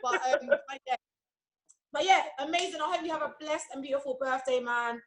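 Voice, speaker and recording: Chatty high-voiced woman. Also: brisk, a bit bright, a little hiss or hum. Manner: chatty, laughs through her story. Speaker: friend of the host. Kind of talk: casual storytelling. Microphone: video call.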